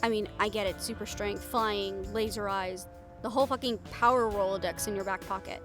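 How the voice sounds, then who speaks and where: A woman's voice: mid-range voice, steady tone, a little fast, over music, lightly acted. A woman playing a bold, sarcastic, foul-mouthed reporter in an improvised audio roleplay, speaking in a candid, conversational way. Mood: frank, coaxing. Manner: conversational, exasperated, candid, with sighs and swearing.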